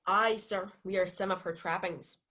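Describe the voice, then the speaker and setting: A woman's voice: medium pitch, steady tone, normal speed, sharp tone, close to an everyday voice. A young woman playing a witty, wheedling household clown in a radio-style Shakespeare play. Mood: cheeky, wheedling. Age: young adult.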